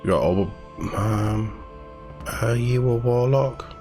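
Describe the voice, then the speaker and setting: A man's voice: medium pitch, slow pace, warm tone. A man hosting a gaming news read-through, chatty and informal, with enthusiastic commentary as he goes. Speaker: host of a gaming news channel. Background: background music.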